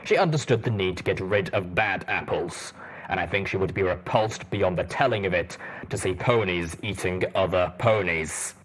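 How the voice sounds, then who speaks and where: A man voicing a huge, stern armored ranger pony in an audiobook, with a rumbling voice and a blunt, deliberate manner. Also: fairly bright tone, husky. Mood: grave. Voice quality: deep, rumbling.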